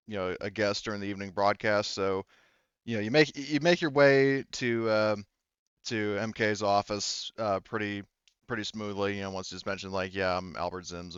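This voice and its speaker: A man narrating a tabletop game as game master in a halting, informal, improvised way. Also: on the low side, a bit bright, clean tone, no room sound, dull audio. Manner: halting, informal, swears casually.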